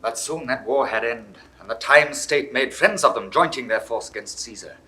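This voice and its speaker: A man's voice: mid-range voice, normal speed, bright, forceful tone, natural voice. An actor playing a dutiful male messenger bringing bad news in a 1960s audio drama, reporting carefully in verse. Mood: wary.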